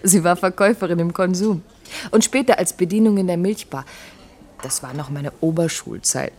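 A woman's voice: lowish voice, moderate pace, mellow. A woman acting in a German radio drama as a sardonic, jealous doctor and wife who needles with sarcasm. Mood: catty, mocking.

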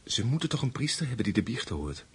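An actor playing a greedy, flippant criminal accomplice in a radio drama, with a breezy, sardonic manner. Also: male voice, mid-range voice, sing-song, quick pace, slightly rough. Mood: sly.

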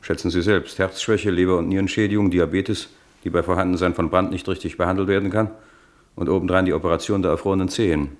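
A man acting a rapid-talking, blunt doctor. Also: sits low, fairly even intonation, lightly acted. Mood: clinical, brisk. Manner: clinical, matter-of-fact, clipped. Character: brisk, blunt male hospital doctor. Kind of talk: German radio drama acting.

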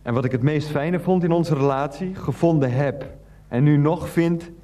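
Actor as mellow, awkwardly earnest husband. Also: male voice, on the high side, a little hiss or hum, close to an everyday voice. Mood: tender, earnest. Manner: halting, sincere, rambling. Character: earnest, awkward, clueless husband making video confession. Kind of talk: radio play monologue.

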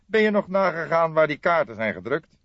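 A man voicing an eager young male detective in an audiobook, with a brisk, matter-of-fact manner. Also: on the high side, sing-song, no echo, voice pushed up. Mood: businesslike. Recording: dull, dry lo-fi audiobook recording.

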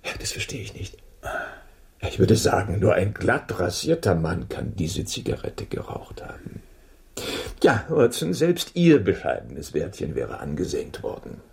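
An actor playing a keen, self-assured male detective in a German radio drama, deliberate and musing as he questions and deduces. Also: low-pitched, wildly swooping pitch, creaky, darkish tone, breathy edge, full character voice. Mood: puzzled, deducing.

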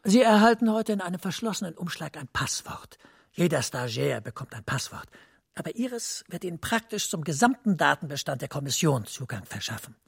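A composed, authoritative male professor in a radio play. His fairly high voice is very husky and breathy, with a creaky edge. He speaks precisely and discreetly, as if confiding. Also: big pitch swings, a little fast, dry recording, clean studio sound, full character voice. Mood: discreet, controlled. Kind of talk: German radio drama acting.

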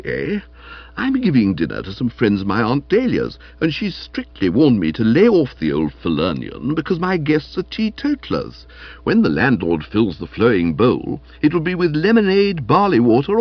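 A man plays a haughty young upper-class English gentleman in a comic audiobook. He is airy and flippant, with a raised pitch that leaps about extravagantly. The sound is dry and slightly dull. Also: huge pitch swings, normal speed, no room sound. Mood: airy, amused. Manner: airy, scornful, breezily self-satisfied.

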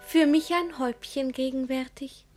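An actress playing a demure, simple young ward in a verse comedy, polite and artless in manner. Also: female voice, high voice, fairly even intonation, moderate pace, soft background music, natural voice. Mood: meek, artless. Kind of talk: theatrical verse comedy radio drama acting.

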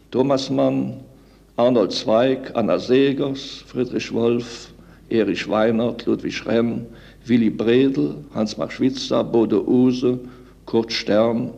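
An older man giving a measured, itemising spoken statement on an archival tape. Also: mid-range voice, gravelly, dark tone, highs cut off. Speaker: former political official recalling events.

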